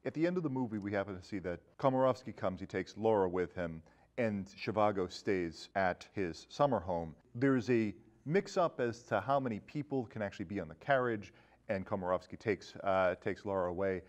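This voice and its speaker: A man's voice: fairly low pitch, expressive, moderate pace. A man hosting a casual interview show, speaking conversationally to his guest. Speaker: podcast host.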